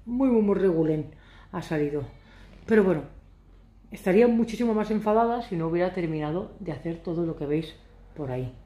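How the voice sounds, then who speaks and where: A woman's voice: on the low side, expressive, fairly quick, warm tone, echoey room. Chatty woman vlogging from her sofa. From Catalonia. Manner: chatty, ironic, rambling. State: hoarse from shouting. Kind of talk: casual chat to camera. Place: living room sofa, indoors. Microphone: phone camera at arm's length.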